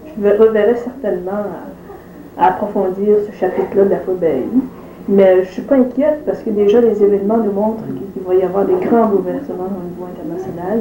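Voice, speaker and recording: A woman speaking earnestly in an interview, pausing with many fillers. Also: medium pitch, warm tone, slight background noise. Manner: hesitant, full of fillers. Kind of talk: earnest testimony. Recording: roomy room echo.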